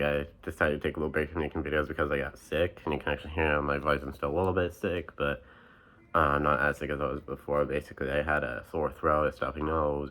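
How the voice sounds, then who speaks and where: A man's voice: extremely low pitch, fairly bright tone. A young man chatting casually on his vlog about his book collection, rambling with lots of ums and restarts while getting over a cold with a stuffy nose. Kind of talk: casual chat.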